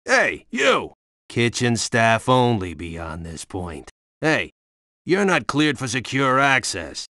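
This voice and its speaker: A man playing a bossy, gruff security guard in a game, barking commands. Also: medium pitch, big pitch swings, slow, bright, forceful tone, no echo, clean studio sound, exaggerated voice. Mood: alarmed, stern.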